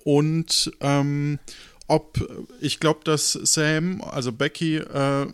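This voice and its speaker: A man's voice: a little high, level tone, very slow, smooth, dry recording. A man hosting a casual group debrief, thinking aloud with a hesitant manner. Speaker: podcast host and game leader. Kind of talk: casual group debrief after an improvised audio play.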